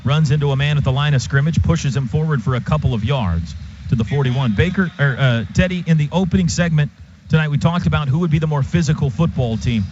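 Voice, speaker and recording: A man doing live radio play-by-play of a football game in clipped phrases that can turn excited. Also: fairly high pitch, fast talker. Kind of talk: live American football commentary. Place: stadium radio booth. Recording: noisy, muffled stadium radio broadcast.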